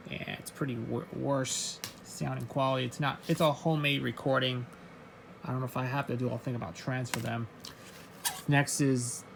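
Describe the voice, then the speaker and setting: Gravelly man reading off record labels. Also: mid-range voice, a little hiss or hum. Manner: reads off record titles, halting and offhand. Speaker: record collector. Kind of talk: casual show-and-tell vlog.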